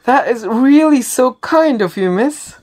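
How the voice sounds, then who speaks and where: A man's voice: very high-pitched, expressive, measured pace, darkish tone, exaggerated voice. A man playing a poor, humble wandering rat trap seller in an amateur radio drama, speaking earnestly and politely. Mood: grateful.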